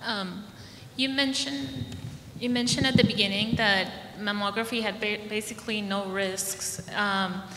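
A woman in the audience asking a panelist a slow, halting question. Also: medium pitch, fairly even intonation, a bit bright, a little hiss or hum. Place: large conference ballroom. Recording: echoey hall with long reverb.

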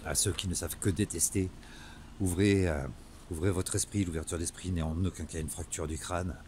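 Man, forties, deep register, earnest unscripted monologue, hesitant halting delivery, faintly noisy phone recording. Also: steady tone, a little mellow. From Marseille, southern France. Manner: halting, hesitant, frequent searching pauses. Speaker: vlogger. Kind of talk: earnest improvised monologue to camera. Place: outdoors in a town street at night, later inside a car. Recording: noisy phone selfie audio, ambient noise.

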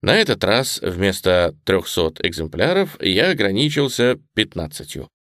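A man narrating a first-person memoir audiobook in a reflective, expressive way. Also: on the low side, measured pace, dry recording, clean studio sound.

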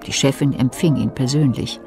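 A woman playing the detached, composed narrator of a German radio crime play, in measured scripted narration. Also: rumbling low, darkish tone, faint music behind.

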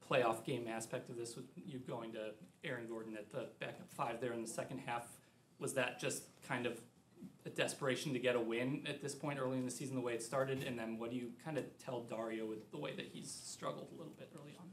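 A man, a sports reporter, asking a coach quick, run-on questions from the floor of a press room. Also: medium pitch, steady tone, a little hiss or hum.

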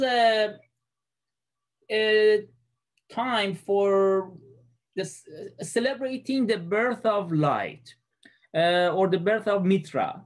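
Man, 30s, Persian accent, student presenter recorded on webcam; halting delivery with frequent fillers. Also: high voice. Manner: hesitant, many fillers and restarts. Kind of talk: class presentation lecture.

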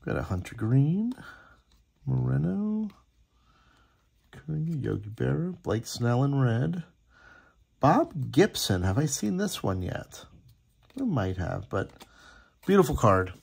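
Gravelly-voiced man chatting through a hobby video. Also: mid-range voice, very animated, slow, pauses often, a little mellow. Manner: chatty, appreciative, reading names aloud. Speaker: host opening sports card packs. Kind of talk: casual running commentary. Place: indoor room at a tabletop.

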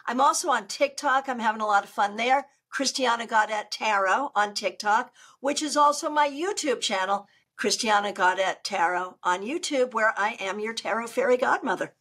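Lively older woman with a loud voice. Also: fairly high pitch, normal speed. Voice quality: loud speaking voice. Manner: animated, gestures a lot while talking. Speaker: tarot reader guest. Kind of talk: casual interview chat. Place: home room on a video call.

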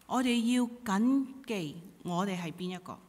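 A woman's voice: medium pitch, lively intonation, normal speed, a little mellow. A middle-aged woman interpreting a sermon in emphatic, short phrases. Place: church hall pulpit. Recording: reverberant hall through PA.

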